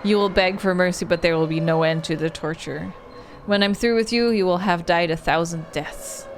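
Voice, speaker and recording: A woman's voice: lowish voice, moderate pace, slight background noise, lightly acted. A woman voicing a malevolent female monster in a horror visual novel, venomous and contemptuous, making threats. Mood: cruel threatening.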